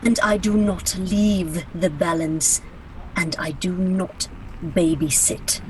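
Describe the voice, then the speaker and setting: Slow, solemn Grim Reaper played by a woman. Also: fairly low pitch, steady tone, crowd noise, rough recording. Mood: imperious. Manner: formal, grandiose, deliberate. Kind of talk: radio play acting.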